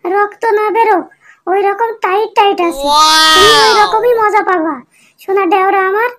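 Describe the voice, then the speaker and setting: Clingy, high-voiced flirty sister-in-law Boishakhi. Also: male voice, moderate pace, bright, forceful tone. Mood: insistent, suggestive. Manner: coaxing, insistent, wheedling. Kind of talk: comic cartoon dialogue.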